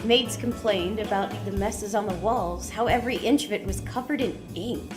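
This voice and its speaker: A woman playing a breezy, quick-witted hacker sidekick in an audio drama. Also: mid-range voice, over music, close to an everyday voice. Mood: brisk, wry.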